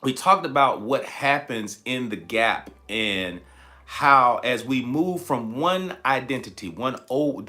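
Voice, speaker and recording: A man hosting a motivational livestream, speaking emphatically and repeating phrases, his voice slightly raspy and tired. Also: medium pitch, fairly bright tone. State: slightly raspy, tired voice from shouting the night before. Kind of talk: motivational livestream talk, preaching-like.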